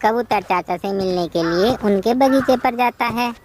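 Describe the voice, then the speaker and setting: A woman's voice: a little high, unhurried, background noise. A woman narrating a scripted children's cartoon story.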